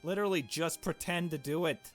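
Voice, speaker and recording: A man's voice: fairly high pitch, fairly quick, soft background music, pitched above the performer's own voice, close to an everyday voice. A man voicing a pushy, sneering teenage girl in a visual novel game, bossy and insistent. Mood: impatient, pushy.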